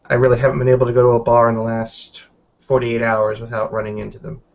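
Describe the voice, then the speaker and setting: A man's voice: mid-range voice, completely flat delivery, fast, gravelly, natural voice. A man roleplaying a guarded female werewolf newcomer in a tabletop game, choosing formal words with careful hesitations. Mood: candid. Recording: dull lo-fi voice recording.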